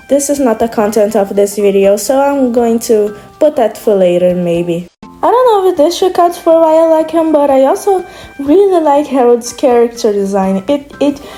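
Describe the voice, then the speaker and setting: A woman's voice: on the high side, warm tone. A young woman from Brazil whose first language is Portuguese, chatting casually in a rambling, hesitant way with many restarts. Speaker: video creator. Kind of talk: casual monologue.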